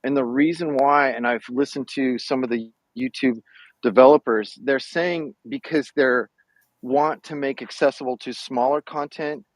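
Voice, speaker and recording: A man's voice: mid-range voice, steady tone, moderate pace, dry recording, rough recording. Dry-voiced man explaining an update. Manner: informative, walks through details step by step. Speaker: panel guest, an architect. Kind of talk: casual explanation on a panel.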